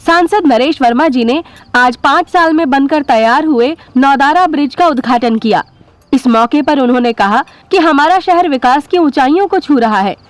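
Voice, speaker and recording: A woman doing a high, formal TV newsreader. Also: normal speed, no room sound, lightly acted. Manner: formal news-reading delivery. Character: female TV news anchor.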